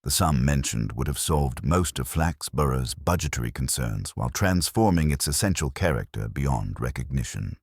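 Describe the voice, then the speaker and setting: A man with an extremely deep voice narrates a mystery in a formal, measured way. His pitch moves expressively through the sentences, and the sound is dry, with little room around it. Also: expressive, a little mellow, breathy edge, no room sound. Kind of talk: scripted audio mystery narration.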